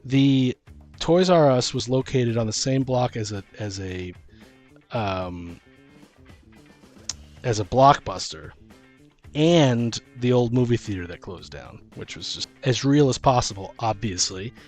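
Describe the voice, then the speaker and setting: A man's voice: medium pitch, lively intonation, unhurried, darkish tone, soft background music. A man talking casually and informally as a podcast host and dungeon master, thinking aloud about running tabletop games.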